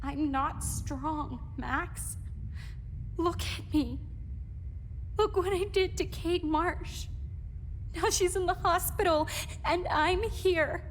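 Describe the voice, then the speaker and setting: A woman's voice: very high voice, slow pace, pauses often. An actress playing a terrified, helpless eighteen-year-old student in a video game, crying and faltering as she pleads. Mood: despairing, subdued. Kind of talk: emotional video game voice acting. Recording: echoing reverberant space, background noise.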